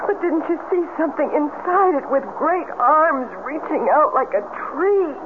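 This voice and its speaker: A woman's voice: high-pitched, moderate pace, natural voice. An actress playing a nervous, outspoken young woman in a 1970s radio drama, anxious and emphatic. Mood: frightened. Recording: tinny, very noisy old radio broadcast.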